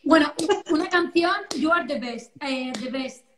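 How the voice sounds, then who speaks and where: A woman's voice: high voice, unhurried, a little hiss or hum. A woman in her thirties with an accent from Spain, chatting casually and exclaiming often as an interview guest on a phone video call from home. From Valencia, Spain. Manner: animated, exclamatory. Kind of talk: casual chat. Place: living-room sofa at home, indoors. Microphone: phone at arm's length, video call. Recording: roomy echo over phone live stream.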